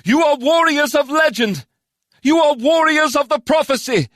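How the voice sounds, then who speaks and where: A man's voice: very high-pitched, measured pace, fairly bright tone, no room sound, clean studio sound, voice pushed up. A man voicing a woman bard warrior in an audiobook, wistful yet fervent, with an earnest, declamatory delivery. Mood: rousing, commanding. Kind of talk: audiobook character voice.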